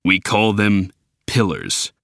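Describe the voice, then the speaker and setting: A man's voice: fairly low pitch, wildly swooping pitch, slow pace, vocal fry, a bit bright, dry recording, studio quality, heavily acted. Man doing a grave giant robot leader. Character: huge angry male robot leader, grave.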